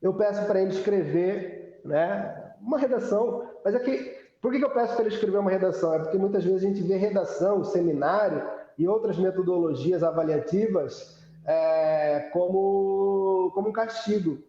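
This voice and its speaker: Brazilian guy teacher chatting on a livestream, high mellow voice, explains haltingly, super echoey. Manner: halting, explanatory, asks himself questions. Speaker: public school history teacher. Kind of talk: casual live online discussion. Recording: very echoey room, dull online audio.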